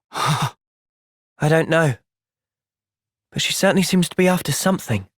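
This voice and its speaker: A man voicing an earnest, thoughtful young soldier in a video game, with an English accent and a measured, sincere delivery. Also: on the high side, no room sound, studio quality. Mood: pensive, serious. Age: young adult. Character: earnest, thoughtful young male soldier, gentle but resolute. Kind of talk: video game cutscene acting.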